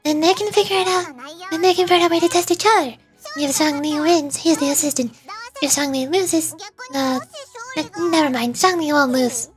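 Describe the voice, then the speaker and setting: A woman voicing a small, childlike, excitable fairy companion in a squeaky game-character voice. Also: normal speed, music in the background, lightly acted. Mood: bossy, excitable.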